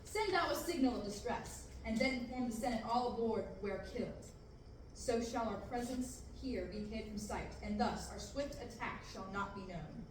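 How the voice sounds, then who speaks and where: A woman reading a menacing male dark lord in Shakespearean verse at a table reading, with a commanding, declaiming delivery. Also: a little high, normal speed, close to an everyday voice. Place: large classroom. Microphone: far camera. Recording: echoey room from far off, background noise.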